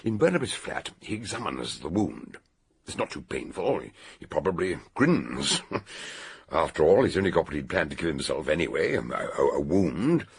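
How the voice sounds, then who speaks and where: Deep gravelly old British detective. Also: male voice, big pitch swings, heavily acted. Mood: dramatic, relishing. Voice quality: gravelly, husky. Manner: theatrical, deliberate, expository. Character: eccentric older male detective expounding a murder solution. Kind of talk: radio detective drama acting.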